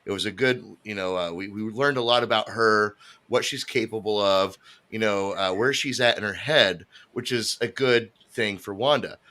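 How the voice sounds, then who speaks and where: A man speaking as a panelist in a casual live discussion, measured and dotted with fillers. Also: lowish voice, pushed and bright.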